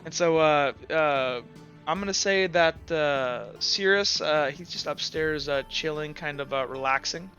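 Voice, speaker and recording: Bright-voiced male game master. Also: a little high, highs cut off. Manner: halting, conversational. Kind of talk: improvised narration of a tabletop roleplaying game. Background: music.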